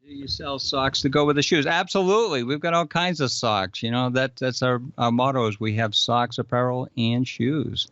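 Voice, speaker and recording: A man's voice: mid-range voice, normal speed, hardly any pauses, fairly bright tone, clean tone, no echo, muffled recording. A man with a Boston accent, a guest answering questions in an easygoing, slightly hesitant way. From Boston area. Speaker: guest, shoe store employee. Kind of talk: casual interview answers.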